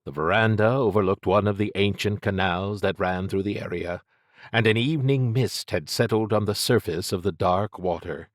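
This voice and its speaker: A man's voice: fairly low pitch, normal speed, no echo, clean studio sound. A man narrating scripted fiction as an audiobook reader.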